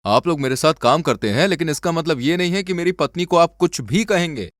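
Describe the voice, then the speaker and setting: A sincere young cartoon husband played by a man, protective and firm. His fairly high voice moves in lively swings, and the sound is dry. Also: a little fast, no echo. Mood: firm, angry. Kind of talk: animated moral story dialogue.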